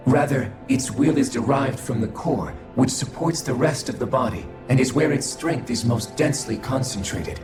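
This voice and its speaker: A man playing a calm, coldly logical alien observer in a video game, speaking formally and dispassionately. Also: medium pitch, close to an everyday voice. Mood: detached, formal. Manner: formal, measured, dispassionate delivery. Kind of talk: scripted video game cutscene acting. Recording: echoing voice over background music.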